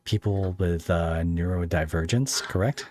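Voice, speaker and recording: A man's voice: sits low, measured pace, darkish tone. A man hosting a podcast in a casual interview style, asking questions in a chatty, rambling way with jokey asides.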